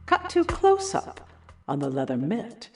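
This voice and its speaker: Seductive screenplay narrator in a writer's head, unhurried and sensual, set back behind loud music. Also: female voice, mid-range voice, huge pitch swings. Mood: sultry. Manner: deliberate, sensual. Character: sultry female inner voice narrating a lurid screenplay. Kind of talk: dramatic screenplay narration in audio play. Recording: distant voice under loud music.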